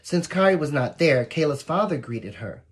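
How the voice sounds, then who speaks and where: Person reading a kids picture book out loud, pitch goes all over, chats to the listeners. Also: moderate pace. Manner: expressive, addresses young listeners directly. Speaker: volunteer storybook reader. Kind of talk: children's picture book read-aloud with asides.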